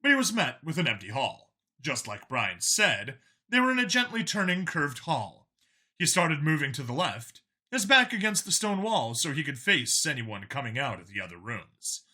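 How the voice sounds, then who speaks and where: A man's voice: on the high side, sing-song, moderate pace, sharp tone. A man narrating a scripted action story as an audiobook narrator, in a dramatic reading style.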